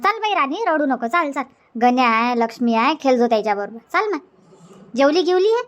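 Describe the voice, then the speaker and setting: Woman voicing a high-pitched folksy village auntie. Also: sing-song, a little fast. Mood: reassuring. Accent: Varhadi Marathi from Vidarbha. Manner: folksy, inquisitive, reassuring. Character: nosy, reassuring village auntie, a grandmother. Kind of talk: cartoon comedy dubbing.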